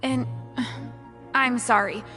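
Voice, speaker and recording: A woman's voice: a little high, fairly even intonation, takes it slowly, pushed and bright, big-room sound, soft background music. An actress playing a timid, apologetic woman in a video game, hesitant in her delivery. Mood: uneasy. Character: timid, apologetic female society member. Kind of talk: video game character acting.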